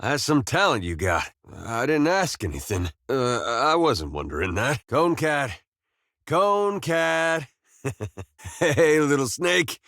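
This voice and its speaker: An actor voicing an easygoing, wry and weary farmer turned soldier in a video game, with a folksy, plain-spoken drawl. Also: male voice, medium pitch, very animated, takes it slowly, a bit bright, dry recording, studio quality, exaggerated voice. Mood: playful, doting. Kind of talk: video game companion dialogue.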